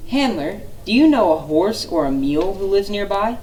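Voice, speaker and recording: A woman's voice: mid-range voice, animated, moderate pace, mellow, recorded from a distance, a little hiss or hum, voice pushed up, natural voice, rough recording. Lady doing a sweet friendly storybook dog girl, bouncy tone. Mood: kind. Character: kind, friendly female dog.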